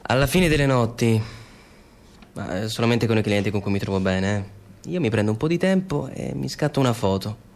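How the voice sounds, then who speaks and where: A man's voice: lowish voice, expressive, a little fast. An actor playing a casual, coy young male escort in a radio drama, speaking in a hesitant, offhand, conversational way. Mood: offhand, confiding.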